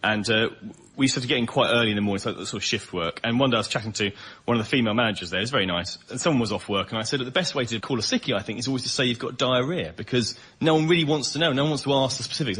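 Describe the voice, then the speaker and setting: A man's voice: mid-range voice, pushed and bright, husky. An English man telling comic anecdotes in a chatty, self-deprecating way as a panel guest.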